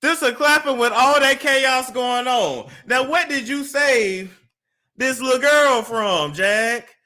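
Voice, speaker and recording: A young man with a high, bright voice hosts a gossip commentary over video clips. He mocks what he sees with quick jokes and laughing asides. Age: young adult. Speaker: commentary host.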